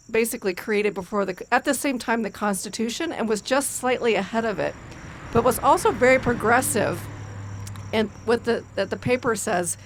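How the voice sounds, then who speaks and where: A woman giving friendly dedication remarks outdoors, pausing often with ums and restarts. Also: mid-range voice. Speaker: state commemoration official. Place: outdoors at a rural barn by a busy road. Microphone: handheld microphone. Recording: faint outdoor background noise.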